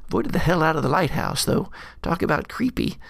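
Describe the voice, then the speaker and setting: Performer acting out a scrappy adventurer, creaky, dry close sound, slangy audio diary, cursing, self-interrupting. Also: mid-range voice, lively intonation, a little fast, no room sound. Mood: cheeky, chatty. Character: scrappy slangy jittery adventurer Skeen recounting a bad day. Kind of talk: in-character audio diary monologue.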